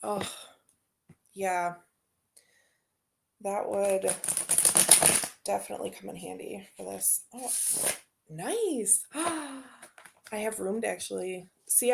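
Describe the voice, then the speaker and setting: A woman's voice: on the low side, vocal fry, a little mellow, a little hiss or hum. A woman hosting a tarot channel, chatting casually and rambling as she unboxes decks and answers viewer comments.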